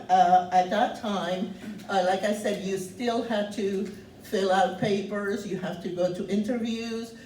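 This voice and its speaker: A woman in her seventies from Cuba, speaking loudly and earnestly as she tells her life story, pausing on many fillers. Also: mid-range voice, slight background noise. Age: 70s. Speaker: guest speaker sharing her refugee story. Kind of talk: personal testimony talk. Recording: roomy, distant mic, faint ambience.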